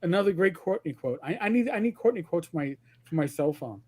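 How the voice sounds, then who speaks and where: This man from Queens speaks with a New York accent in a noticeably high register. He hosts a casual livestream, rambling, tripping over repeated words and swearing freely. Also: expressive, fast, slightly rough. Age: older. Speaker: host.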